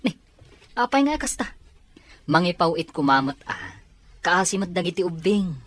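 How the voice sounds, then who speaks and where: A woman's voice: fairly low pitch, big pitch swings, moderate pace, fairly bright tone, dry recording. A woman playing a busy, responsible grown son in an audio drama, with concern. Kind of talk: Ilocano audio drama acting.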